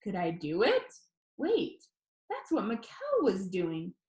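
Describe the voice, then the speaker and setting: A woman reading a children's novel aloud as expressive first-person audiobook narration. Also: mid-range voice, slow, roomy sound. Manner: expressive read-aloud storytelling.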